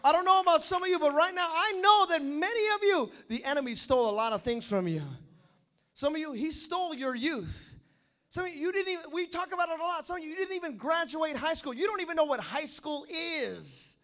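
A man preaching with lively, animated delivery and asides to the congregation. His voice sits very high with a bright edge. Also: fairly quick. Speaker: pastor. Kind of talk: sermon.

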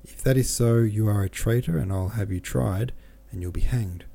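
Raging governor dude, man reading him, gravelly low voice, yelling threats. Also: moderate pace, exaggerated voice. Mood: furious. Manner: angry, scolding. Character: hot-tempered male governor, threatening.

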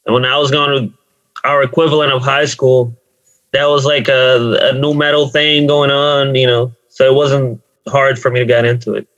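A man's voice: mid-range voice, little pitch movement, moderate pace, a bit bright, highs cut off. A man from Brazil, a band member, chatting casually as an interview guest in an informal, matter-of-fact way. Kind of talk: casual chat.

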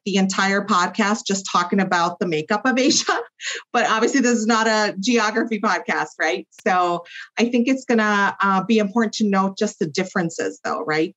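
Woman guest on muffled lo-fi audio. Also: mid-range voice. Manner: explanatory, many fillers and "right?". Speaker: clinical psychologist guest. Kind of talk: podcast interview.

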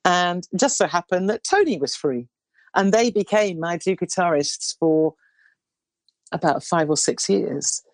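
A woman in her sixties, a singer with a low baritone voice, telling stories in a rambling interview chat with many restarts. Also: lively intonation, a little slow, no echo. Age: 60s. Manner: rambling, warm storytelling with restarts.

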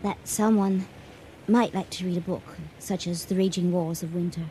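An actress playing a shy, bookish, lovestruck young woman in a radio drama, earnest and halting. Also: fairly low pitch, fairly even intonation, mellow, close to an everyday voice. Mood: earnest, hopeful. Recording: noisy 1980 radio drama recording.

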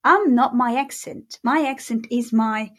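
A woman with a Hungarian accent blended with British and American tones, her voice sitting a bit high. She talks casually as an interview guest and draws out words when she wants to stress them. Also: unhurried, a bit bright. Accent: Hungarian mixed with British and American. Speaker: guest, mindset coach.

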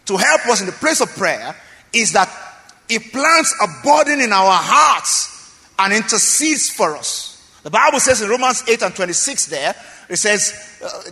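A high, lively man preaches to his congregation with a bright, forceful voice. He repeats himself for emphasis and keeps checking that his listeners follow. His voice rings with the long reverb of a church hall. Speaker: pastor. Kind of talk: sermon.

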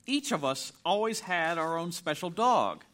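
A man's voice: on the high side, lively intonation, measured pace, a bit bright. A man playing an upbeat, earnest suburban dad in a live audio drama, eager and placating with his family. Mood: earnest enthusiasm.

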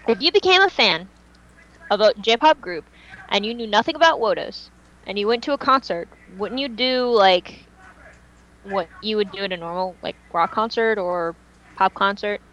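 A woman's voice: mid-range voice, expressive, normal speed, a bit bright. A woman chatting casually as a podcast panelist, explaining her points with emphasis, on dull lo-fi audio. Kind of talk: casual fan chat.

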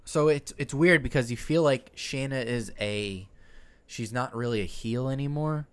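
A man's voice: mid-range voice, normal speed, smooth. A man co-hosting a podcast, chatting casually about wrestling, loose and joking. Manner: laughs often, loose and joking. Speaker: podcast co-host.

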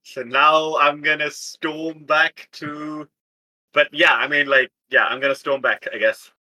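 A man's voice: fairly high pitch, steady tone, unhurried, bright, forceful tone, rough recording. A man chatting casually as a tabletop game player, with a wry, exasperated manner and some swearing. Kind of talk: casual tabletop roleplay chat.

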